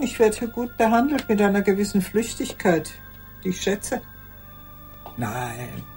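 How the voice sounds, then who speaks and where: An old woman, deliberate and guarded. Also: mid-range voice, a little mellow. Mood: wry, restless. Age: older. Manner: deliberate, formally polite, addressing a silent listener. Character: old woman in a care home, matter-of-fact, guarded. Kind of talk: radio drama monologue.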